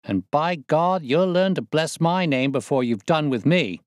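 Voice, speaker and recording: A man's voice: on the high side, a little slow, dry recording, clean studio sound, pitched above the performer's own voice. A man reading a story aloud, voicing a boastful, swaggering young male convict who leads a plot. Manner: boastful, coarse, excitable.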